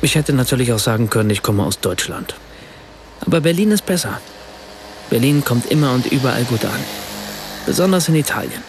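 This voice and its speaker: A man's voice: medium pitch, animated, brisk, long pauses, a little hiss or hum, natural voice. A man narrating as a wry German writer. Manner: ironic, reflective. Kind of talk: scripted radio drama narration.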